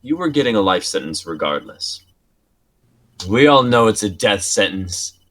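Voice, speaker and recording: A man reading the part of a calm, cold old man in a robe, measured and cutting. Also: on the low side.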